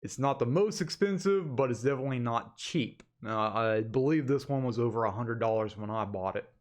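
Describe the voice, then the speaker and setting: A man's voice: medium pitch, lively intonation, a little fast. A man talking informally and directly to his viewers as the host of an online channel, in a casual webcam update recorded on a desktop microphone in an office. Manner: informal, addresses viewers as "guys" repeatedly. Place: indoor home office. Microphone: desktop USB condenser microphone.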